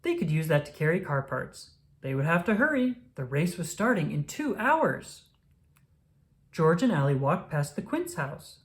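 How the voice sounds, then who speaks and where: A woman reading a children's story aloud, with expressive, deliberate phrasing for young listeners. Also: low voice. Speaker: storybook reader. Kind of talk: children's read-aloud narration.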